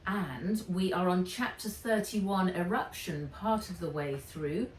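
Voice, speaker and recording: A woman reading a children's adventure story aloud with dramatic, expressive narration. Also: lowish voice, measured pace, slight background noise. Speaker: author reading own book aloud. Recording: roomy, distant microphone.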